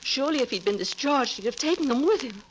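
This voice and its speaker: Scared young wife, high voice, very 1940s radio melodrama, bit of crackle. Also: female voice, lively intonation, quick pace. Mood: anxious, confiding. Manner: fearful, melodramatic. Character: frightened, beautiful young wife, anxious and pleading.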